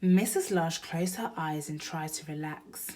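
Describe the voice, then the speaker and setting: A woman's voice: deep voice, unhurried, a little mellow. A woman storyteller reading a picture book aloud expressively for young children.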